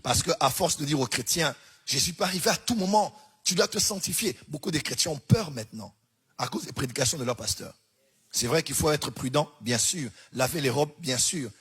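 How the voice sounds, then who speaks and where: A man with a Central African French accent, preaching a sermon in a singsong cadence that climbs into shouts. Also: fairly high pitch, brisk, breathy edge.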